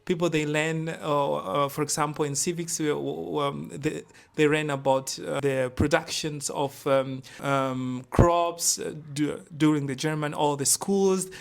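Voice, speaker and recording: A man's voice: on the high side, steady tone, measured pace, creaky. A young man from Tanzania, a guest scholar in a podcast interview, talking with many fillers, restarts and repeated words.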